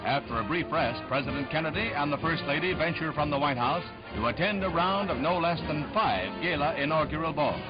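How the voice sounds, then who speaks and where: A male American newsreel narrator of the 1960s with a high-set, declamatory voice. His formal scripted delivery rides over loud music on a dull, band-limited vintage soundtrack. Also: animated, a little fast, hardly any pauses.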